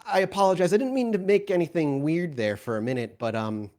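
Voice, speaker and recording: A man voicing an earnest, smiling young male church caroler in a tabletop game, polite, halting and pushy in his friendliness. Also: fairly high pitch, a little mellow, pitched above the performer's own voice, natural voice. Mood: earnest, friendly.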